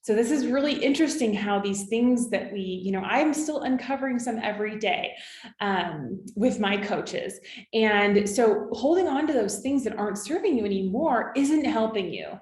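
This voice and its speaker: A woman's voice: on the high side, lively intonation, moderate pace, darkish tone, roomy sound. A woman in her thirties from California, a business coach talking to her viewers in an encouraging way, often checking in with "okay?" and "right?". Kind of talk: coaching talk to camera.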